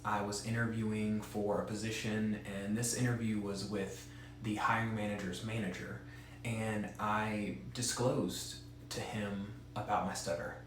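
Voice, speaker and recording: A man's voice: lowish voice, flat delivery, unhurried, very echoey, slight background noise. A man who stutters gives an earnest, direct instructional talk as a presenter for an advocacy group.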